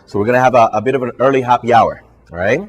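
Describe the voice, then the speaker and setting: Puerto rican guy, spanish accent, husky voice, running a bartender training, says all right? constantly. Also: mid-range voice, racing. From Puerto Rico. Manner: tags nearly every phrase with "all right?", many fillers and restarts. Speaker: brand ambassador and trainer. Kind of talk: live training presentation to bartenders. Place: hotel function room with an audience.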